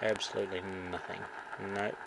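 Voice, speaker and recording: A man with an Australian accent and a fairly low voice full of creak gives a casual, halting demonstration of a radio. He is recorded indoors on a camera mic, with loud static and hiss all around him. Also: level tone. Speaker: radio hobbyist demonstrating a receiver. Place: indoors at a radio shack bench.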